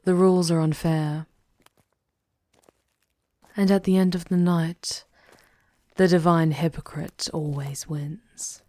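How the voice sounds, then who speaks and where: A woman playing a world-weary demon queen in a scripted ASMR roleplay, speaking softly and formally, often slipping into breathy whispers. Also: low-pitched, fairly even intonation, no room sound. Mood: somber, earnest. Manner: soft, breathy, slow and deliberate, often dropping to whispers; formal, archaic theatrical diction. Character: regal, world-weary demon queen guiding a lost wanderer.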